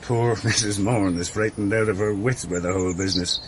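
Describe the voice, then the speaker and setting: A gentle, talkative Irish priest played by a man, musing in a lowish voice. It sounds like outdoor radio drama, with clear ambient noise and birdsong. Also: steady tone, fairly quick, lightly acted. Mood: genial, musing.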